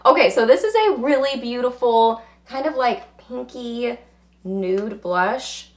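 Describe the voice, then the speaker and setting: A woman, hoarse from losing her voice, chatting casually through a makeup review and rambling with lots of filler words. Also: on the high side. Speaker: beauty vlogger.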